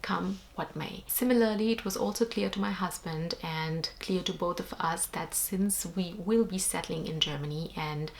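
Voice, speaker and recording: A woman's voice: lowish voice, moderate pace, creaky, hardly any pauses. A young woman from India with an Indian accent, explaining things earnestly to camera in a casual vlog. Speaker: vlogger.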